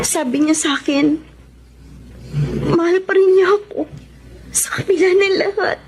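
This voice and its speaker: An actress playing a remorseful young escort with a Filipino accent in a radio drama, speaking in a tearful, halting, melodramatic way. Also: female voice, high-pitched, darkish tone, a little hiss or hum. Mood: sad, subdued. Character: remorseful young escort woman confessing betrayal to her lover. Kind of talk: Tagalog radio drama acting.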